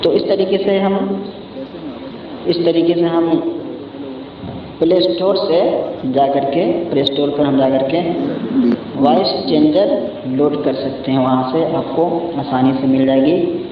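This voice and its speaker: Male tutorial host, casual step-by-step delivery, slightly high voice, muffled recording with clear background noise. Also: fairly even intonation, slow pace, long pauses. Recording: echoey, muffled, distant mic, noisy background.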